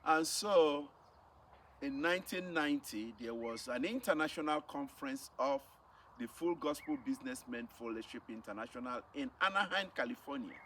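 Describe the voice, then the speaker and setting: A man's voice: medium pitch, lively intonation, normal speed, a bit bright. Nigerian missionary man, recorded from a distance. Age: middle-aged. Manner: emphatic, repeats phrases for effect. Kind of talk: personal testimony, storytelling to camera. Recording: distant mic with faint background noise.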